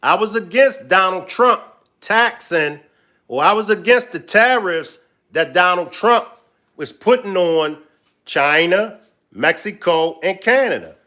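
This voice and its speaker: A man hosts a live stream, chatting loosely with his audience. His voice sits a little high. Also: animated, a little slow, bright, forceful tone. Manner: loose, chatty, talks straight to viewers. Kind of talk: casual live-stream commentary.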